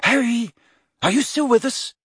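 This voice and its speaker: A man voicing a sensible, brisk, impatient teenage girl for an audiobook. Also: very high-pitched, fairly bright tone, breathy edge, no room sound, studio quality, pitched above the performer's own voice, full character voice. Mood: demanding. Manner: brisk, reasoning.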